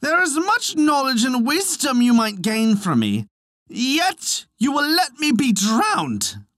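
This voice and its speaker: A narrator putting on a high, haughty wee fairy poet. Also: huge pitch swings, slow pace, fairly bright tone, no echo, clean studio sound, full character voice. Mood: indignant. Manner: declamatory, archaic, haughty. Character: tiny proud fairy poet, male, indignant and grandiose.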